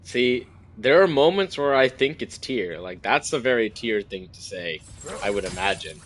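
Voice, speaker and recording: Animated, bright-voiced male game streamer. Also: medium pitch, normal speed. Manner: musing, self-correcting. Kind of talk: casual live game commentary. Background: faint game ambience.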